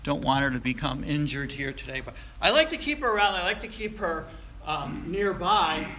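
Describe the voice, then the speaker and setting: A man's voice: a little high, lively intonation, moderate pace, sharp tone. A man in his sixties preaching a sermon in a folksy, conversational way, asking rhetorical questions and repeating phrases for emphasis. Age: 60s. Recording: echoey room, faint background noise.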